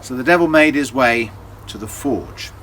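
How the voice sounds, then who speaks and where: A man telling a folktale as a storyteller, in a theatrical, deliberate way with dramatic pauses. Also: medium pitch, animated, noisy background.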